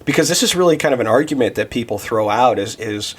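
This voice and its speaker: A man's voice: medium pitch, animated, fast talker, slightly rough. A man in his thirties giving a casual devotional Bible teaching, thinking aloud with many restarts and fillers. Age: 30s.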